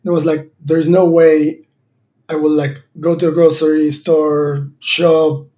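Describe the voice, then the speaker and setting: A man's voice: fairly high pitch, little pitch movement, slow pace, dark tone. A man in his thirties from Argentina, a startup founder answering interview questions thoughtfully, with many fillers and restarts. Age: 30s.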